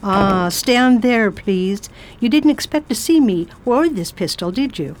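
A woman voicing a brisk, commanding spinster travel writer, with a crisp, imperious and dryly witty delivery. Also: mid-range voice, expressive, slight background noise, lightly acted. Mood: interrogating. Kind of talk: radio drama acting.

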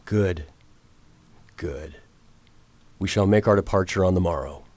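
A man playing a determined, formal Victorian gentleman hero in a radio drama, measured and reassuring. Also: deep voice, no room sound. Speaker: narrator. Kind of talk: radio drama acting and narration.

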